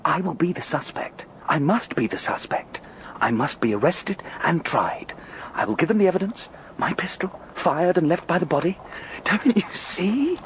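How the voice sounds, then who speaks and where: An actor playing a scheming, exultant gentleman plotting murder in a radio drama, with a theatrical, coaxing, intense delivery. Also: on the high side, animated, normal speed, whispered. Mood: excited, exultant.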